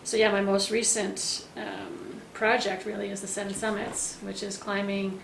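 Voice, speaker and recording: Woman, 40s, from quebec, interview guest, relaxed and matter-of-fact, echoey room, faint hiss. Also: mid-range voice, moderate pace, creaky. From Quebec, Canada. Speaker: guest, endurance athlete. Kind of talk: casual interview chat.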